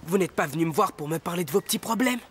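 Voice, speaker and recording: High-voiced, earnest young editor. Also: male voice, lively intonation, fast talker, slight background noise. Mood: wary, defiant. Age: young adult. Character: wary, brave young newspaper editor, protective and earnest. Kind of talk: French animated drama acting.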